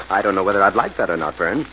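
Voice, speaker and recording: An assured, genial investigator in an old-time radio mystery. His low voice explains things in a measured way, over a dull recording with clear background noise. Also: sing-song. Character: assured, genial male detective hero. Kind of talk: 1940s radio acting.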